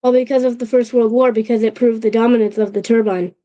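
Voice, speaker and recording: High-voiced woman chatting on a livestream. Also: fairly even intonation, mellow, dull audio. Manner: halting, restarts often, checks in with chat. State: recovering from a sore throat. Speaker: channel host. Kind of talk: casual livestream chat.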